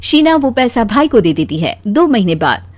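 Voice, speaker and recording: High-pitched woman telling a story. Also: big pitch swings, moderate pace. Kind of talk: scripted story narration.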